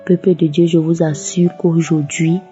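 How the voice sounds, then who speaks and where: A woman's voice: low voice, little pitch movement, normal speed, mellow. A devout woman giving a religious testimony and offering thanks. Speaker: testimony giver. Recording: muffled lo-fi audio over music. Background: background music.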